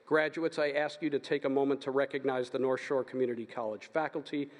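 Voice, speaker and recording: A man giving formal, steady announcements as presenter at a graduation ceremony. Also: medium pitch, fast. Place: large hall. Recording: long reverb of a large hall.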